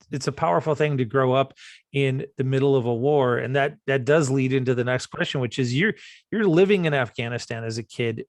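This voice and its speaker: A man's voice: medium pitch, no room sound, clean studio sound. A man interviewing a podcast host, asking friendly, encouraging questions about his childhood. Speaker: interviewer, panel guest.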